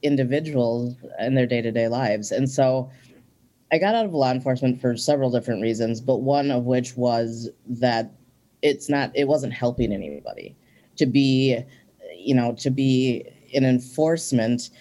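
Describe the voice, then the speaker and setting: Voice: mid-range voice, moderate pace, mellow. A guest in their forties from Minnesota, talking earnestly and reflectively in a casual interview, with frequent ums.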